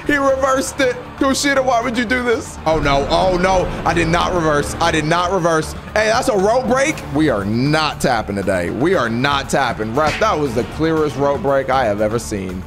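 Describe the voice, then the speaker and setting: A young man in his twenties, losing his voice, trash-talking with excitement through a game match from a home streaming setup. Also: a little high. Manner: excitable trash talk, reads messages aloud in mocking voices. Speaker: gaming channel host. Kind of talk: live gameplay commentary. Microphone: headset with studio mic. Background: game music.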